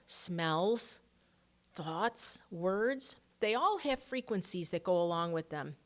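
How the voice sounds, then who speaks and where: A middle-aged woman hosting a spiritual podcast, talking earnestly and heartfelt, dropping to a soft hush on reflective passages. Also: lowish voice. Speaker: podcast host.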